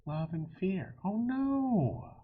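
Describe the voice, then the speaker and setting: A man reading a children's story aloud in a theatrical way, with a breathy, husky voice that dips into hushed tones. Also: high-pitched, very animated, extremely slow, phone-quality sound, a little hiss or hum. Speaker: children's book reader.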